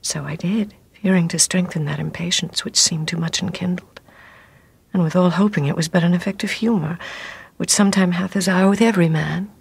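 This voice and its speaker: Pleading Roman wife, gravelly husky voice, urgent, radio play. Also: female voice, fairly low pitch, brisk, heavily acted. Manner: urgent, pleading verse delivery. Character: devoted, pleading Roman noblewoman, a loving wife. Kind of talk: classical stage radio drama.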